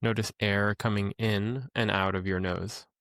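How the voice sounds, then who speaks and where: A man leading a guided meditation as a teacher, speaking in a calm, gentle, instructional way with pauses. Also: on the low side, dry recording, studio quality.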